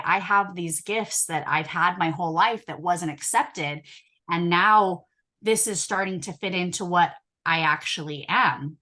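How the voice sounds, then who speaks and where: Bright-voiced woman telling her story. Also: fairly low pitch. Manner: chatty, many fillers and restarts, clears throat often. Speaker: hypnotherapist guest. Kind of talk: casual interview storytelling.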